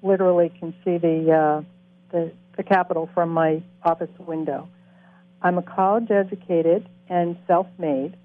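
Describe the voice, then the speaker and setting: A woman's voice: on the low side, fairly even intonation, moderate pace, dry recording. A woman in her sixties from Boston introducing herself on a panel, earnest and direct, heard over a phone line. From Boston, USA. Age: 60s. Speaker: coach educator. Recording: tinny phone-line audio.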